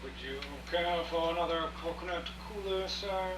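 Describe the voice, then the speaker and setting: Man playing a high-pitched, stiffly polite butler. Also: normal speed, lightly acted. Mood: deferential. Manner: formal, hesitant, deferential. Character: deferential, discreet, stiffly polite male butler. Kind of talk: live radio play acting. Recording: echoey distant live rehearsal, clear noise.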